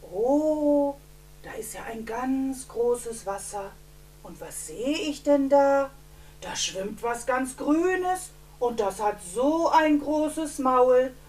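An older woman voicing a curious, excitable little frog in singsong, exclaiming interactive storytelling for children. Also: high voice. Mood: curious, excited. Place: indoors, seated before a painted backdrop. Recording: slightly distant from the mic.

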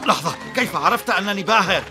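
A woman's voice: mid-range voice, animated, rapid-fire, lightly acted. A woman voicing a sly, bossy boy impostor in a dubbed cartoon. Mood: scheming. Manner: bossy, scheming. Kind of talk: Arabic cartoon dubbing. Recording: studio dub over loud music.

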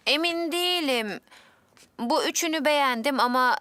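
A woman's voice: sits high, moderate pace, bright, forceful tone. A woman voicing a polite, curious little boy in a Turkish children's dub. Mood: thoughtful.

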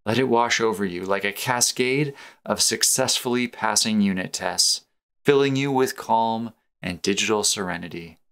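A man narrating a guided meditation in a slow, soothing, measured and earnest way. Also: fairly low pitch, a bit bright, smooth. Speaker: meditation guide, programming teacher.

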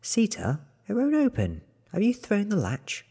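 A man voicing a puzzled, mildly suspicious young man in soft, breathy ASMR audiobook dialogue. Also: high-pitched, wildly swooping pitch, measured pace, in a large hall, voice pushed up, exaggerated voice.

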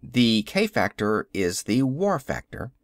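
A skeptical, curious man presses with probing questions, as a male audiobook narrator reads him. The pitch moves in a lively way. The sound is dry and close. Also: slow pace, no room sound. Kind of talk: audiobook character reading.

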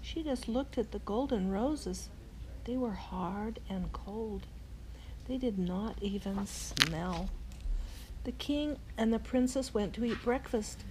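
Slow-reading older woman, expressive storyteller. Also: medium pitch. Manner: simple, expressive, exclaiming asides. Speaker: grandmother reading a bedtime story. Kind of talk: children's storybook read-aloud with asides. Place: large indoor lodge room with fireplace. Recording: clearly noisy room, ambient sound.

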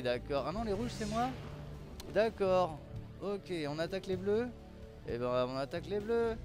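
A man hosting a gaming video with casual, rambling, playful commentary, often talking straight to the characters. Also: on the high side. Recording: music behind the voice. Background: game music.

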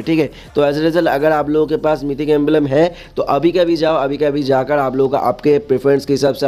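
Fast-talking, mellow-voiced man explaining game events. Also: fairly high pitch. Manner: repetitive, uses frequent check-in tags and English loanwords. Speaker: gaming video host. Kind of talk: casual explainer narration over game screens.

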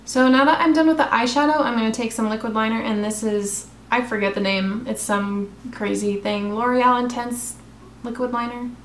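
A young woman, a makeup vlogger, chatting casually through a tutorial in her apartment, her voice a bit raspy, talking while she works. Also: a little high, fairly even intonation, moderate pace, echoey room, slight background noise. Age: young adult. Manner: chatty, self-deprecating, talks while applying makeup. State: slightly raspy voice. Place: small room in her apartment. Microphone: camera close in front of face.

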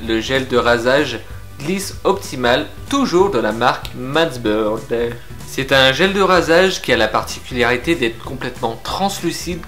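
A young man talks straight to the camera about grooming products, frank and lively, and drops little filler pauses as he goes. His pitch sits in a middle range. Faint music plays under his voice. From France. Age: young adult. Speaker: beard product reviewer. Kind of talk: casual product review to camera. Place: indoor room with shelves of figurines.

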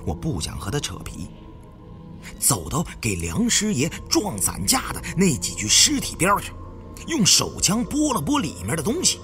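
Male narrator, dramatic novel reading, highly animated pitch, faint music underneath. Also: moderate pace. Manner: expressive storytelling. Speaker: audiobook narrator.

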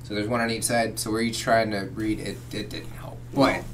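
A man's voice: fairly low pitch, fairly even intonation, normal speed. A man in his thirties chatting casually as host of a reaction video, recorded in a small room at home. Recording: clearly noisy home recording.

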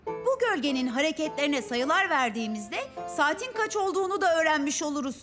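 A woman's voice: sits high, expressive, brisk. A woman voicing a clever, studious child in a children's cartoon, earnestly explaining things. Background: cartoon background music.

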